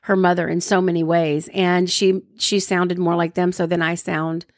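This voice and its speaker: A woman's voice: lowish voice, little pitch movement, moderate pace, dry recording, studio quality. A woman broadcaster from the American South with a neutral broadcast accent, chatting casually with her husband and laughing often. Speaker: broadcaster and co-host. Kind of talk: casual chat with spouse.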